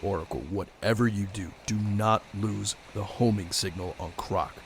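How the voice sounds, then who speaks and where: A man playing a brooding, stern vigilante detective in an audio drama, terse and grim with a gravelly voice. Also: fairly low pitch, fairly even intonation, moderate pace, slight background noise, close to an everyday voice. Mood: commanding. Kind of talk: audio drama narration and dialogue.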